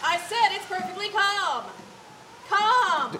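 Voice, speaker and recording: An actress playing a domineering, scornful wife, scolding imperiously in a comic audio drama. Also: female voice, high voice, sing-song, normal speed, a bit bright, a little hiss or hum, low-quality recording. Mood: shrill anger.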